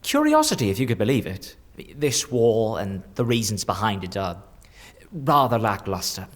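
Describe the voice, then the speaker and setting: Sly, low-voiced theatrical changeling. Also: male voice, very animated, moderate pace. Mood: amused, teasing. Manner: teasing, cryptic, lingering over words. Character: sly, theatrical shapeshifting changeling in a stolen man's body. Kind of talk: dark fantasy audio drama acting.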